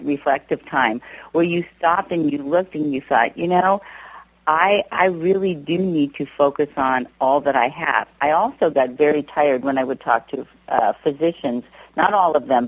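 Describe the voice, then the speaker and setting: A woman's voice: a bass voice, poor audio. A middle-aged woman, an author and professor, speaking as an interview guest and telling her story in an earnest, reflective way.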